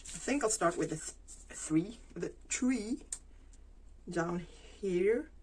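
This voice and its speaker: A woman chats her way through a crafting session, thinking aloud with frequent pauses and fillers. Her voice sits a little low and she speaks at an easy, slow pace. Soft scratching of pens and stencils runs underneath. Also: lively intonation, slight background noise. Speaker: planner vlogger. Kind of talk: casual plan-with-me narration while crafting.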